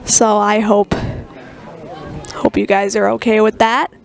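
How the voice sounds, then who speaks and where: A woman's voice: medium pitch, flat delivery, slow, creaky. A woman doing live play-by-play commentary for a game while recovering from a cold, reading sponsor spots between plays. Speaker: play-by-play announcer. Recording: crowd noise behind.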